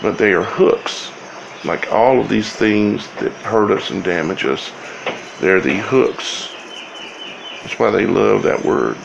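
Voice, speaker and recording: Slow, very gravelly man. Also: fairly low pitch, background noise. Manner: halting, broken phrases with long pauses. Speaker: video host. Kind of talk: informal scripture commentary to viewers.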